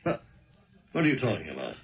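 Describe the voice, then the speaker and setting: Casting: threatening murderer, commanding old-time radio villain, highly animated intonation, thin muffled sound. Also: male voice, fast talker, gravelly, full character voice. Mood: suspicious, demanding. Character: menacing, contemptuous killer cornering a woman. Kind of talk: 1970s radio drama acting.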